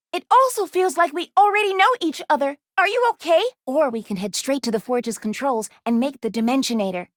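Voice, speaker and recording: Gentle anxious girl robot for a video game, high pitched, careful formal wording, super dry close sound. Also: no room sound. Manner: formal, precise, careful diction without contractions. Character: gentle, anxious young female robot, earnest and self-doubting. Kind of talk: video game character voice lines.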